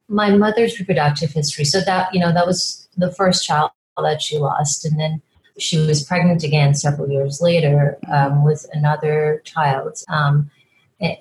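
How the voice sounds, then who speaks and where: A low-voiced woman from Guyana, a fertility doctor, speaks as a podcast guest. She talks in a measured, storytelling way, with frequent fillers. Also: a little hiss or hum, rough recording. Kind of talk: podcast interview.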